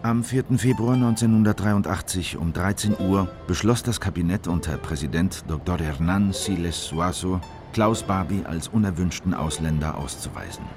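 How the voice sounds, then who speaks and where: A man acting a government insider in a radio play, narrating over clear music. His deep voice is grave and formal, carrying indignation. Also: normal speed, hardly any pauses, lightly acted. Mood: grave indictment. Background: prominent music.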